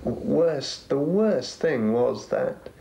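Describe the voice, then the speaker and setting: A man giving a reflective documentary interview, speaking haltingly with pauses in the middle of his phrases. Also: mid-range voice, lively intonation, gravelly, warm tone, poor audio. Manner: halting, pausing mid-phrase.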